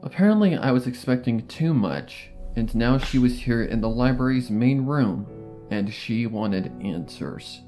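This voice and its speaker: A man's voice: medium pitch, expressive, measured pace, dark tone. A man reading a scripted story as a dramatic narrator, acting out the dialogue as he goes. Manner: acts out character dialogue. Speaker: fan fiction narrator. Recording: music behind the voice. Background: music.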